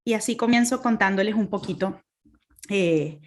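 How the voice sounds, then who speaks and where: A woman's voice: medium pitch, moderate pace, darkish tone. A woman in her thirties from Caracas with a Venezuelan accent, giving an earnest faith talk over a video call, with many fillers and restarts. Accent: Venezuelan Spanish, Caracas. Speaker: guest speaker.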